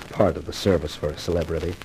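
A man's voice: a bass voice, steady tone, a little fast, a little mellow, breathy edge, heavily acted. A man playing a flippant, self-assured celebrity columnist in a 1950s radio detective drama, with a wisecracking manner. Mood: wry, breezy. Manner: wisecracking, cocky. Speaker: lead actor and first-person narrator. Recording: noisy old radio drama recording.

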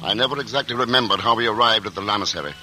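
An actor playing a composed male traveler who narrates his journey in a 1940s radio drama, measured and reflective. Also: lowish voice, bright, forceful tone, poor audio. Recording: old radio broadcast with music.

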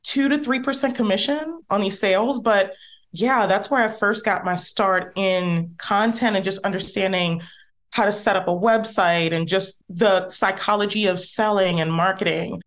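A woman guest on an interview podcast who explains her work in a lively, emphatic conversational manner. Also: mid-range voice, moderate pace. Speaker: podcast guest, content strategist.

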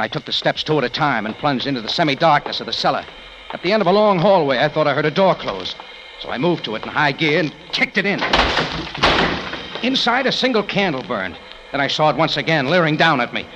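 A man's voice: on the high side, animated, brisk, a bit bright, a little hiss or hum, rough recording. An actor playing a terse, wry American adventurer who narrates his own case in slangy 1940s radio detective style. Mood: tense narration. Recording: old radio recording, music behind.

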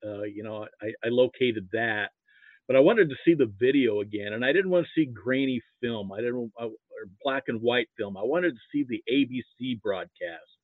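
Thin phone-like sound, American man, fifties, medium pitch, halting casual talk. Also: lively intonation, dry recording. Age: 50s. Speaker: podcast guest. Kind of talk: casual recollection. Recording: narrow, phone-like line.

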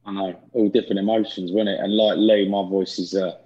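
A hoarse man takes part in a podcast over a muffled remote line. His voice is low and flat, with little movement in pitch. He talks in a rambling way. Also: brisk. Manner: rambling, monotone. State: hoarse from shouting. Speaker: podcast panelist.

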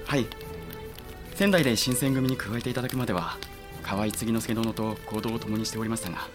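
A man's voice: lowish voice, fairly quick, close to an everyday voice. Eager young samurai officer played by a man, music behind. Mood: earnest. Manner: polite, eager, deferential. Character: earnest, admiring young samurai officer, loyal and idealistic. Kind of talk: Japanese period audio drama acting.

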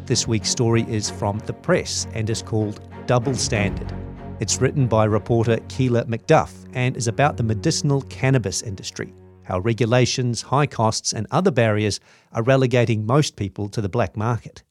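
A man's voice: medium pitch, normal speed, a little mellow, soft background music. A man hosting a podcast, reading a scripted introduction. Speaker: podcast host.

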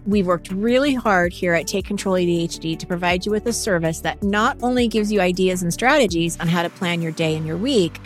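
A woman co-hosting a podcast, speaking in a clear, measured and polite way. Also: lowish voice. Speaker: podcast co-host. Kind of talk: announcement and podcast chat.

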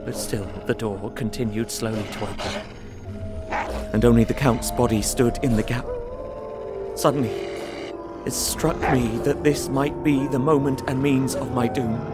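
A man's voice: medium pitch, fairly even intonation, unhurried, natural voice. A young man playing a frightened Englishman who narrates his ordeal in an earnest, tense way for an audio drama. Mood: fearful. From England. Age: young adult. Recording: music score under the voice.

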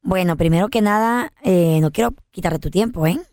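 A woman's voice: lowish voice, brisk, a little mellow, dry recording, studio quality. Flirty woman on a prank call. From United States, family from Honduras. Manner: flirty, playful, switching to English phrases. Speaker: prank caller and co-host.

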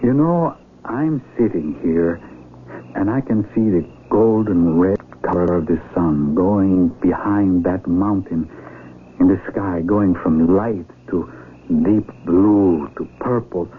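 A man's voice: low voice, slow pace. A man acting in a radio drama as an earnest outsider among villagers, with an emphatic, dramatic delivery. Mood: wondering. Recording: tinny radio broadcast with faint noise.

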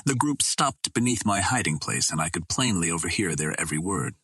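A man narrating a classic adventure novel in a measured, formal storytelling way. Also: low voice, sing-song, a bit bright, breathy edge, no room sound, clean studio sound. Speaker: audiobook reader.

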